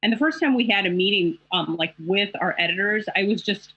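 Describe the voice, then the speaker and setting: A woman's voice: medium pitch, brisk, fairly bright tone, breathy edge. A middle-aged woman journalist speaking in a panel discussion, talking in a reflective, candid way with many fillers. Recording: dull lo-fi call audio.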